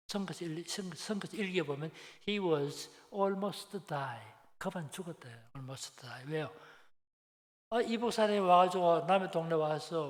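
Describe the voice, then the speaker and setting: A man in his seventies with a Busan Gyeongsang accent preaches in a conversational storytelling way, asking rhetorical questions and repeating phrases for emphasis. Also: a little high. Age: 70s. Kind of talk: Sunday afternoon sermon, conversational storytelling. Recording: long hall reverb.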